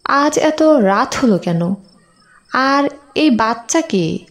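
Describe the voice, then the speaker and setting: A woman plays an anxious village wife in a cartoon tale. Her voice is high and she speaks very slowly, with a questioning edge. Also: expressive, exaggerated voice. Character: worried village wife and mother. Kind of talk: cartoon story dialogue.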